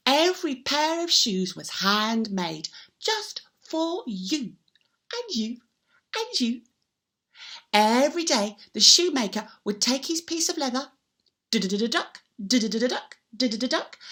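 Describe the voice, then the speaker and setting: High, animated woman storyteller for children. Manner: theatrical, with repeated sound words and drawn-out emphasis; invites listeners to join in. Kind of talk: interactive storytelling for young children.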